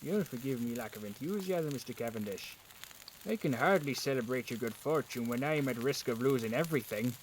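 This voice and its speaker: Man playing embittered plague-era villager, middle pitch, sardonic, challenging, period audio drama, faint background noise. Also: lively intonation, a little fast, close to an everyday voice, poor audio. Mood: bitter, sardonic. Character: bitter, resentful lower-class village husband in plague times.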